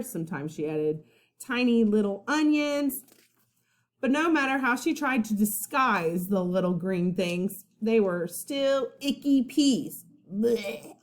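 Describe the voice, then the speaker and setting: A woman teacher reading a children's story aloud expressively, pausing to put questions to young listeners. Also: mid-range voice. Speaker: first grade teacher.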